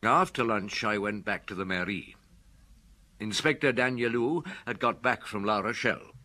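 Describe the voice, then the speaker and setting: An actor playing a shrewd, patient middle-aged police detective in a radio drama, with a measured, probing way of talking. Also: male voice, lowish voice, pushed and bright. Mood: reflective narration. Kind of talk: radio drama dialogue and first-person narration.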